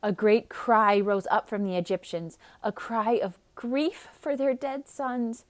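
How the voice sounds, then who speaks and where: A woman's voice: on the high side, a little slow. Theatrical woman reading a children's storybook. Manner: expressive, dramatic, with hushed emphasis. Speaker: storyteller reading a picture book aloud for children. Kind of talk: theatrical read-aloud narration with casual asides.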